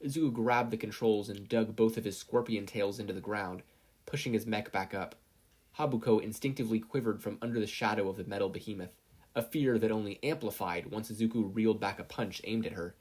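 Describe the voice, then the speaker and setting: Casting: male audiobook-style narrator, low pitch, husky tone, reading scripted fiction. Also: steady tone, fairly quick, a little mellow. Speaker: podfic reader, narrator.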